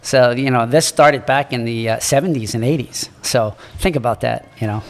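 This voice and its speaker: Gravelly older man, native French speaker. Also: medium pitch, in a large hall. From Morocco. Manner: unhurried, halting, earnest. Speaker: podcast guest. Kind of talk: reflective storytelling in an interview.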